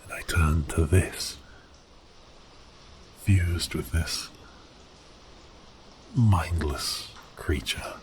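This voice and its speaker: A man playing a giant cursed sea beast prince in an ASMR audio roleplay, speaking in grand archaic phrases with a teasing, theatrical manner and a breathy voice that dips into whispers. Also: deep voice, drawn-out words, long pauses, background noise. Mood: wistful, resigned.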